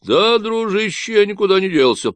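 A man's voice: sits high, sing-song, a bit bright, no room sound, pitched above the performer's own voice. A man voicing an unhinged Texan man on a radio, who talks in a nasal drawl full of slang and mockery. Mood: mocking. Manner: slow, mocking slang talk.

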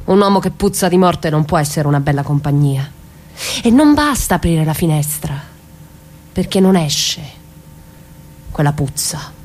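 A woman playing a brave, defiant mother on the run from the mafia in a theatrical radio drama. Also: mid-range voice.

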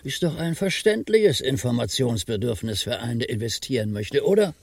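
An actor playing the decisive, businesslike leader of an investigating crew in a radio play. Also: male voice, medium pitch, sing-song, a little fast, creaky, a little mellow. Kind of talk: naturalistic radio play acting.

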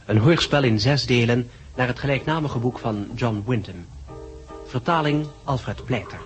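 A man's voice: mid-range voice, measured pace, muffled recording. A man formally announcing titles and credits for a radio drama. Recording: loud music under the voice.

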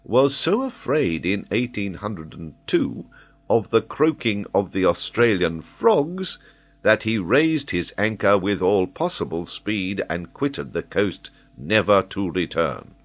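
A man voicing a calm, shrewd army major in a storybook, dryly teasing with an understated, deadpan manner. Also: on the low side, slow pace, voice pushed up, full character voice.

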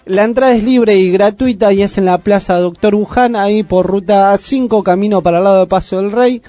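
A man with an Argentine accent hosting a casual community radio show, chatty and full of "eh" pauses. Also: high voice, mellow, no room sound. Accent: Argentine Spanish. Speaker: radio host. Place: radio studio.

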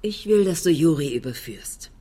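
An actress playing a cool, suspicious betrayed wife in a radio drama, pointed and sceptical in a naturalistic style. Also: female voice, low-pitched, animated, moderate pace, mellow, slightly rough. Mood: cool, irritated.

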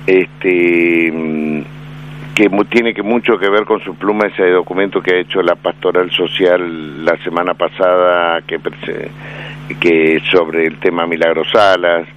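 Deep-voiced Argentine man on AM radio. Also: fairly even intonation. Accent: Argentine Spanish. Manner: measured, hesitant, frequent fillers. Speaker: radio commentator on Vatican affairs. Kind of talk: radio interview chat. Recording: dull lo-fi AM radio broadcast with faint noise.

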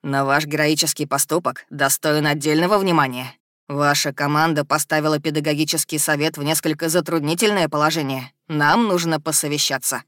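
A woman voicing an older male university rector who gives formal, commanding, measured addresses in a fantasy audiobook. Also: deep voice, steady tone, fairly bright tone, no echo, clean studio sound, pitched below the performer's own voice. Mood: formal, proclaiming.

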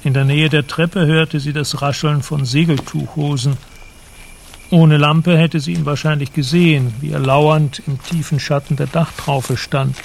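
A man giving measured, precise literary narration for a radio drama, with a creaky voice. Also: fairly high pitch, steady tone, a little hiss or hum.

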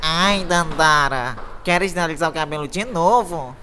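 A woman's voice: low voice, unhurried, background noise. A woman playing a flamboyant, blunt male hairdresser in an amateur radio drama. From Brazil.

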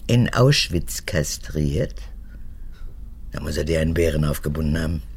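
A woman's voice: lively intonation, moderate pace, darkish tone, exaggerated voice. An actress playing a capricious, manipulative old woman, an unrepentant former camp guard, in a radio drama, with theatrical swings between whispers and outbursts. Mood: needling, dismissive. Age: older. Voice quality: husky, gravelly, low.

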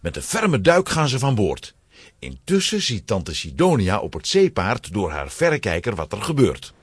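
A man's voice: lowish voice, huge pitch swings, moderate pace, husky, dry recording, clean studio sound. A man narrating a children's adventure story in a dramatic, scripted storytelling style.

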